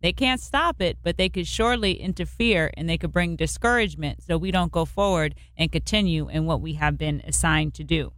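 An American woman co-hosting a church radio show, affirming her co-host and reading scripture in a measured way. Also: sits low, dry recording. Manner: affirming, steady backing murmurs, measured reading.

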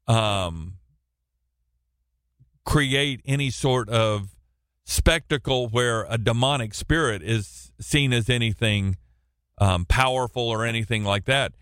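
A man with a loud, carrying voice teaches a Bible passage from a radio studio. He speaks slowly, often doubling back on his words before going on. The sound is dry and close, with no room around it. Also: mid-range voice, dry recording. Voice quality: loud, projecting voice. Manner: halting, with frequent restarts and repeated words.

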